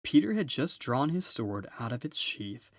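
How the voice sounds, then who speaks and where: A man's voice: mid-range voice, big pitch swings, normal speed, no room sound. Man reading a storybook, dry close sound. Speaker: storybook reader. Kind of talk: scripted narration of a children's novel.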